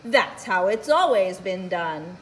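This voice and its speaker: A fussy storybook cricket, put on by a woman reading aloud. The delivery is insistent and emphatic, swinging widely in pitch, with faint noise behind it. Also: expressive, slow pace, rough recording. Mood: bossy, insistent. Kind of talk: children's picture-book character reading.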